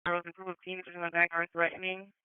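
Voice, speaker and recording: A woman's voice: lowish voice, completely flat delivery, quick pace, smooth, no echo. A woman working as an emergency dispatcher, giving clipped, procedural announcements over a two-way radio. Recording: phone-like police scanner radio audio.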